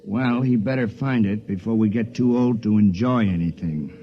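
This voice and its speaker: A man's voice: lowish voice, moderate pace, a little hiss or hum, lightly acted. An actor playing a gloomy, complaining stranded man in a 1950s radio drama. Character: grumbling stranded man at a cold camp. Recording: lo-fi radio transcription, voice off-mic.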